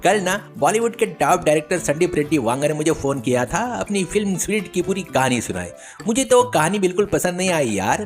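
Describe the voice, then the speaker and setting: A man voicing a boastful, idle village chatterbox who pushes his tall tales insistently in a children's story. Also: high voice. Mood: boastful, eager. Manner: bragging, insistent, wheedling.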